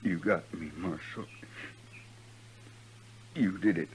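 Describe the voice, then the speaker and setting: An actor playing a bitter, dying Western outlaw, weak and gasping, in a 1950s radio drama. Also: male voice, low voice, slow pace, darkish tone. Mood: bitter, fading. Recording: old radio recording with faint hum.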